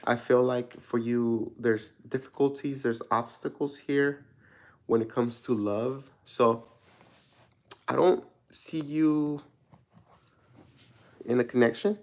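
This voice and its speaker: Gravelly-voiced man doing a casual tarot reading. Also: mid-range voice, takes it slowly, pauses often. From Mexico. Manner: rambling, searching for words, many restarts; relaxed, laughs at himself. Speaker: tarot reader answering viewer questions.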